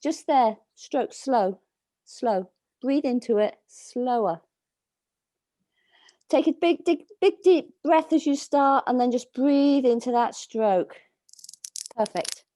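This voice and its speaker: A woman dog trainer giving slow, soothing instructions over a video call, with a calming voice. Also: on the high side. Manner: soft, slow, soothing coaching. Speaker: dog trainer leading a workshop.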